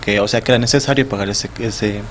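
A young man with an Ecuadorian Spanish accent speaks into a desk microphone in a small TV studio. His voice sits on the low side, and he gropes for words, restarting and repeating himself, over a faint background hiss. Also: steady tone, fast talker. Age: young adult. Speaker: festival sponsor guest. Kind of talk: studio interview. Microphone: desk mic on stand.

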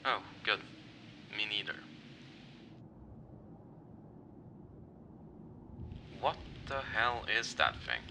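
A man voicing a sardonic, bored masked soldier in a comic dub, with a dry deadpan manner. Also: fairly low pitch, a little slow, full character voice. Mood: doubtful. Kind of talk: amateur comic dub voice acting. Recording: track with clear background noise.

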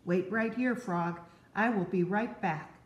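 A woman voicing an excitable, fussy little male toad from a storybook, with high squealing cries. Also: measured pace, muffled recording, close to an everyday voice. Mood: chatty. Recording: roomy echo.

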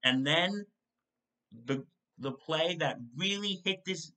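A young man hosting a solo football postgame recap from his home kitchen, reading stat lines in quick lists and correcting himself as he goes. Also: fairly high pitch, bright, forceful tone. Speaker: sports show host. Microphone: desk mic in front of a webcam.

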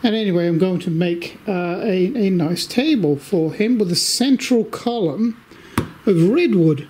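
An older man talks through a relaxed shop update in his home workshop. His speech is unhurried and meandering, full of fillers and restarts. A faint background hum sits under the camera-mic sound. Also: high voice. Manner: slow, rambling, many fillers. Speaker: workshop video maker.

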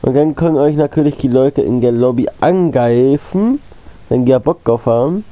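A man's voice: mid-range voice, normal speed, phone-quality sound. A man with a cold, coughing now and then, giving rambling casual commentary on his gameplay and talking straight to his viewers. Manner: rambling, repeats himself, addresses viewers as friends. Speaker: gaming channel host.

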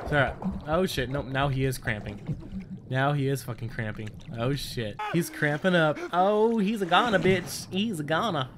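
A man with a fairly high voice hosts a casual gaming playthrough. He is excitable and swears freely, addressing the game character directly. Water and boat sounds from the game are clearly audible behind him. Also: normal speed, background noise. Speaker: gaming video host. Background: game audio of boat and water.

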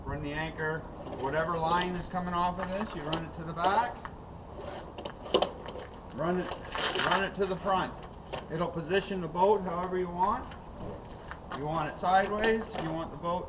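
Man talking over loud outdoor noise. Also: high voice, moderate pace, long pauses. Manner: relaxed, thinking aloud while working. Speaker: kayak fishing vlogger. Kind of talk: casual how-to narration. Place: outdoors in a gravel storage yard.

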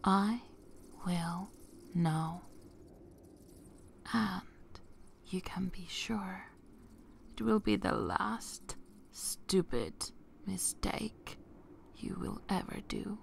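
An ancient vampire mistress played by a woman speaks in a breathy whisper, extremely slowly, with a creaky edge. She is seductive, proud and vengeful, pausing dramatically in an ASMR roleplay over faint background noise. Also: medium pitch, animated, heavily acted. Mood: vengeful, menacing. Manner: slow, deliberate, dramatic pauses.

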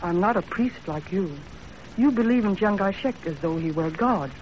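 A woman's voice: medium pitch, lively intonation, normal speed, a little mellow, close to an everyday voice. A woman playing a self-possessed, sceptical young servant in a composed, plain-spoken 1930s radio acting style. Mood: cool, sceptical. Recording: muffled, faintly noisy 1930s radio broadcast.

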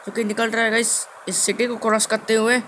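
A young man giving casual gameplay commentary as a video host, halting and repeating his phrases as he goes. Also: high-pitched, flat delivery, bright, forceful tone. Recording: clearly noisy game capture.

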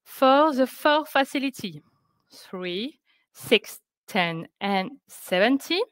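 A woman presenting scientific results on a webinar, speaking careful, halting English. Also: medium pitch, a bit bright, no room sound. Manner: careful, halting non-native English delivery. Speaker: researcher presenting project results. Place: indoors on a video call.

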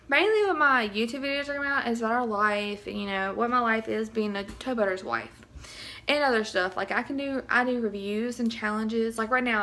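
A woman's voice: fairly high pitch, a bit bright. Chatty woman, slightly scratchy. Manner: chatty, nervous, keeps saying y'all. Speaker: vlogger. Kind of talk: casual product review vlog. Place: small bedroom indoors. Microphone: phone camera close up.

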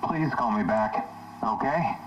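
A man's voice: lowish voice, animated. Man acting worried husband on noisy old tape. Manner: hesitant, halting, softly pleading. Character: worried, grieving husband in analog horror tape. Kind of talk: found-footage horror acting. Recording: noisy muffled 1980s tape, radio-like.

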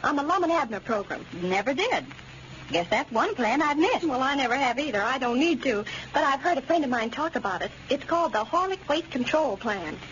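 A woman's voice: sits high, expressive, a little fast, a bit bright. A woman playing a chatty, earnest friend in an old radio advertisement, with an American accent. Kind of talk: 1930s radio advertisement acting. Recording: muffled, noisy old radio recording.

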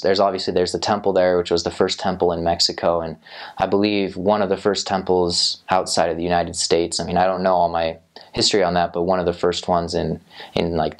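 Young man, low flat voice, rambling. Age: young adult. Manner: rambling, many fillers and restarts. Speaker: returned missionary. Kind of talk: interview answers, casual recollection. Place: small indoor studio set. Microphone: lapel mic.

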